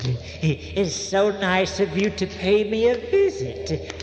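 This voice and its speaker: An echoing, cavernous sound surrounds a creepy old morgue attendant. He is obliging and theatrical, lingering slowly over halting phrases with big swoops in pitch, like a radio horror host. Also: animated, heavily acted. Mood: sly, welcoming. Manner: theatrical, halting, with eerie little interjections. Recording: echoey long reverb, faint noise.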